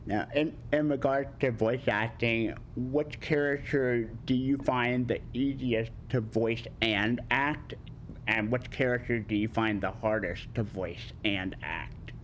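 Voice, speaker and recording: A man's voice: medium pitch, fairly even intonation, takes it slowly, slight background noise, low-quality recording. A man interviewing a guest, asking questions in a stumbling way, often repeating and correcting words. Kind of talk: casual Q&A interview.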